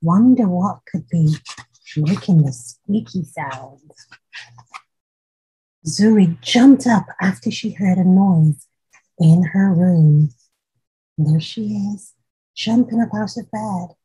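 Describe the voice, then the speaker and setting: Female storytime host: very husky, mellow voice, gentle read-aloud with asides to small children. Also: on the low side, expressive, slow pace, long pauses, rough recording. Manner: gentle, addressing children directly with questions. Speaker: host reading a children's picture book aloud.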